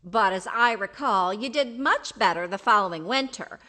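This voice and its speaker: An actress playing a good-natured, teasing old wife in an old-time radio comedy, fond and gently needling. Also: female voice, mid-range voice, lively intonation, moderate pace.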